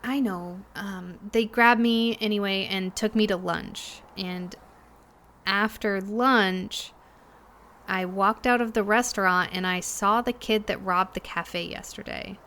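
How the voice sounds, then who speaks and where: Young female cafe worker, played by an actress, audio drama. Slow, halting, unsettled, naturalistic delivery with pauses. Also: mid-range voice, steady tone, fairly bright tone. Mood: unsettled, hesitant. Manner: halting, hesitant, with sighs and pauses. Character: Emily, shaken, hesitant young cafe worker recounting trouble.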